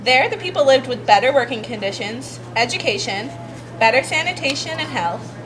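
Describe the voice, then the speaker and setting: A woman's voice: high-pitched, lively intonation, moderate pace, a crowd in the background. A woman narrates a puppet show in a scripted fairy-tale storytelling style, on an amateur recording. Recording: clearly noisy amateur recording.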